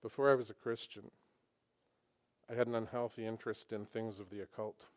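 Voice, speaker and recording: A man preaching a sermon to a congregation on a microphone, animated and conversational. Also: on the low side, brisk. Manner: animated, conversational, with emphatic asides.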